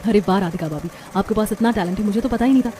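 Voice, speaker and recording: A woman voicing polite young women of an Indian family in a cartoon story, with expressive delivery. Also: medium pitch, rapid-fire, a little hiss or hum, close to an everyday voice. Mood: curious. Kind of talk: scripted cartoon story dialogue.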